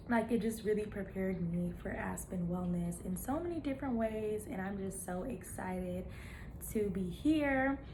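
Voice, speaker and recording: Woman in her thirties, mid-pitched, chatty, encouraging, playful asides, faint room hum. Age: 30s. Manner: chatty, talks straight to viewers, encouraging; playful asides, laughs between thoughts. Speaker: nurse practitioner vlogger. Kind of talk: casual chat to camera.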